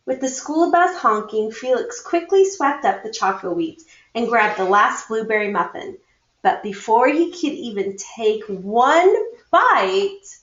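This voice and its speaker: Woman read-aloud storyteller for schoolchildren, slow and very lively in pitch, echoey room. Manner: acts out dialogue, pauses to comment to listeners. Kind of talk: expressive children's picture book read-aloud. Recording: muffled, echoey room recording.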